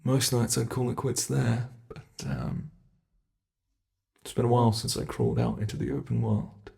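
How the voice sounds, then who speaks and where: A man's voice: mid-range voice, sing-song, normal speed, vocal fry, mellow. A man voicing an ancient, playful monster under the bed, with a British accent, teasing and menacing in a whispery ASMR roleplay.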